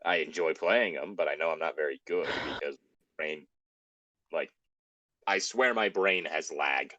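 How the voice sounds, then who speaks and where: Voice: rumbling low, unhurried, fairly bright tone, dry recording, dull audio. A co-host chatting casually and reacting to a story with joking commentary.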